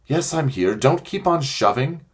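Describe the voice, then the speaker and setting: A man voicing a brave, practical young schoolgirl who speaks briskly and urgently, a little higher than his own voice, in a storybook reading. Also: animated, slightly rough.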